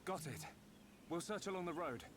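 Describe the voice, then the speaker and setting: A man's voice: sits high, lively intonation, normal speed, noisy background. An actor playing an earnest young male adventurer in a video game cutscene, with music in the recording. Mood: concerned, searching.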